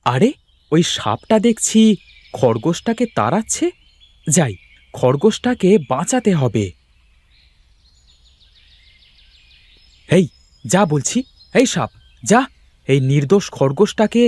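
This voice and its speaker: A man's voice: on the high side, big pitch swings, unhurried, long pauses, husky, no room sound, exaggerated voice. A man voicing a brave, determined young son in a dramatic cartoon story, speaking earnestly and emphatically. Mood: urgent. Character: brave, determined young son searching for his lost father.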